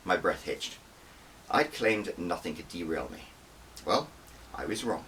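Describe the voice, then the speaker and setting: Low-voiced man narrating a novel, faint hiss. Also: big pitch swings, unhurried, a bit bright, recorded from a distance. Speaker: author reading own audiobook. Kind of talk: scripted first-person dramatic narration.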